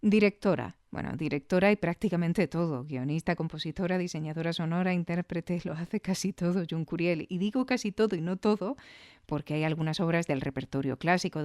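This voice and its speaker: A woman's voice: deep voice, darkish tone, dry recording. Woman podcast host, close dry sound. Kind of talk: scripted podcast narration with conversational asides.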